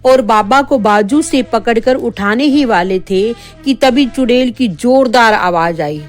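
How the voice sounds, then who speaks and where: A woman's voice: fairly high pitch, a little slow. A woman narrating a scripted spooky tale for children as a storyteller. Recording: background music under the voice.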